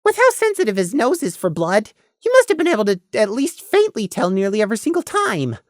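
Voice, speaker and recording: A woman's voice: a little high, huge pitch swings, brisk, no echo, studio quality, pitched above the performer's own voice. A woman voicing a cautious, earnest young male pony with a careful, hesitant manner in an audio story. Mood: wary, thoughtful.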